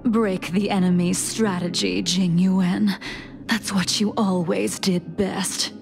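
An aloof, solemn anime game swordswoman with heavy creak in a mid-pitched voice. She speaks slowly and with poise over clear music. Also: fairly even intonation. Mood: aloof, wistful. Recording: music behind the voice.

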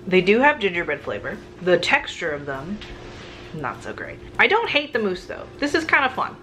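A woman's voice: lowish voice, huge pitch swings, normal speed, bright, forceful tone, a little hiss or hum. Chatty woman vlogging from her kitchen. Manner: candid, chatty, frequent "like" fillers. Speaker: food vlogger. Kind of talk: casual grocery haul chat. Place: home kitchen in front of the fridge. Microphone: camera on a counter, close framing.

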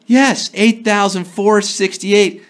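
A man in his thirties hosting a live trivia show from a studio, playful and jokey, a little hoarse from a sore throat. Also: high-pitched, steady tone. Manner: playful, jokey, slips into quick impressions and sung bits. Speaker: trivia game show host. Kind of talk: upbeat live quiz hosting. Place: studio before a green screen.

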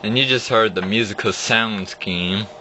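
Low-voiced young man on a lo-fi phone. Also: unhurried, bright, forceful tone. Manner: rambling, restarts, explains as he clicks. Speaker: vlogger showing an old computer. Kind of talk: casual off-the-cuff screen walkthrough. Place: indoors at a home computer desk. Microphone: phone camera held at the screen. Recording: dull lo-fi phone audio with hum.

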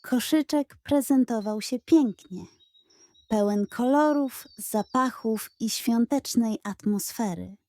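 A woman storyteller narrates a children's bedtime tale. Her pace is slow and her tone mellow. The recording is close, with no room sound. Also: on the high side, dry recording.

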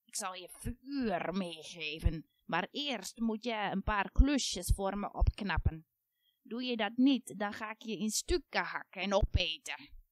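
A woman voicing a cruel, ravenous ancient witch who drawls out slow hissing threats. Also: mid-range voice, lively intonation, no echo, lightly acted. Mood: menacing.

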